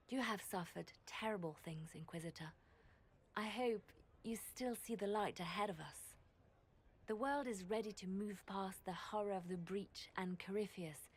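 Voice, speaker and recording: A woman playing a wistful, shrewd court diplomat in a video game, solemn, poetic and measured. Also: mid-range voice, husky, natural voice.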